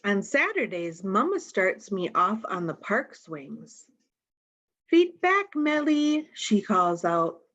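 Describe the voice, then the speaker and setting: A woman leads a children's storytime with a lively, swooping delivery. She takes her time, pausing for gentle asides and questions to the little ones. The recording sounds a bit dull and lo-fi. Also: on the low side, slightly rough. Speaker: storytime reader and host. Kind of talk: read-aloud picture book storytime for young children.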